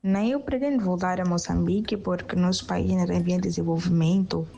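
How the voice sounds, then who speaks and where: A woman's voice: fairly low pitch, steady tone, a little fast, creaky, mellow, dull audio, a little hiss or hum. A young woman from Mozambique with a Mozambican Portuguese accent, a university student answering interview questions in a thoughtful way, often adding little tag questions. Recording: faint music in background.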